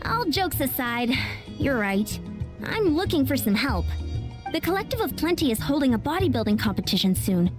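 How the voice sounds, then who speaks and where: A woman's voice: sits high, very animated, normal speed, over music. A woman voicing a small, confident, friendly fitness enthusiast in a video game, assured and upbeat as she explains. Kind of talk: animated game voice acting.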